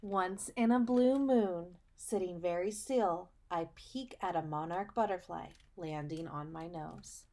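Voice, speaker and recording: A woman teacher reading a story aloud for children, explaining as she goes and pausing to put questions to the listener, with emphasis on key phrases. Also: on the low side, darkish tone. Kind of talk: read-aloud lesson for children, asking questions.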